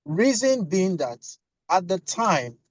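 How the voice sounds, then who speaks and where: Guy on a call from his car, slightly high voice, dry sound, slow and deliberate. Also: very animated, no echo. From Lagos, Nigeria. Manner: measured and deliberate, with a light joking touch. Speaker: management consultant, panelist. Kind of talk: panel discussion on a video call. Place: inside a car while driving.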